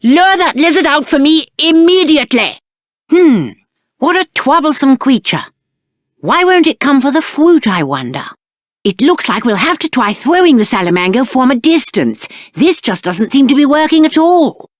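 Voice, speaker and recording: A man's voice: very high voice, very animated, moderate pace, no room sound, clean studio sound. A male actor playing a spoiled, cowardly young prince in a video game, with a British accent and haughty, whiny, aristocratic diction. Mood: eager, bossy, then petulant, boastful. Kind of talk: theatrical video game character acting.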